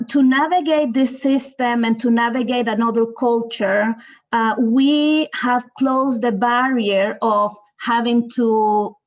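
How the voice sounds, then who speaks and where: A woman with a Salvadoran Spanish accent talks slowly and earnestly to a group of teachers. Her voice sits a little high, and she urges them on with many fillers and restarts. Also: low-quality recording. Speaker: multilingual services staff. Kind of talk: online panel talk.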